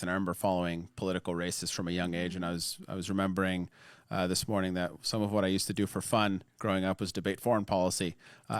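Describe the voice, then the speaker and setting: A man in his thirties, born in Lebanon, a political strategist and pollster answering interview questions in an earnest, steady way. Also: low-pitched, no room sound, studio quality. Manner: earnest, steady storytelling with fillers.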